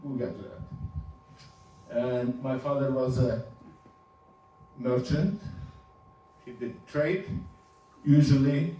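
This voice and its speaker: A man in his seventies, a native Ladino speaker from Turkey, giving an informal talk over slides, halting and restarting with small chuckles. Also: mid-range voice, mellow. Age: 70s. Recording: roomy, distant, faint hum.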